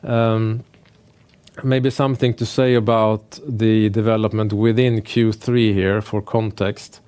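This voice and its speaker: A man speaking as a finance executive presenting quarterly results, in a measured, businesslike and somewhat hesitant way. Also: on the low side, level tone, darkish tone, clean tone. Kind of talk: earnings call presentation, prepared remarks delivered semi-freely.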